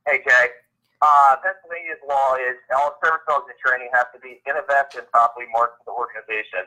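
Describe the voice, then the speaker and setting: Male guest, mid-pitched, very sharp bright tone, explaining plainly, thin phone-like sound. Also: steady tone, fast. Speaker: guest on a live Q&A. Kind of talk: informative casual talk.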